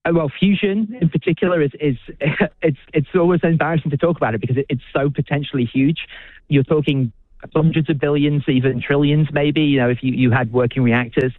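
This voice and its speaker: A man's voice: fairly high pitch, steady tone, no echo. British man on a tinny phone line. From United Kingdom. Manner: enthusiastic, halting, many restarts and fillers. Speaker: physicist and researcher. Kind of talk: radio interview guest.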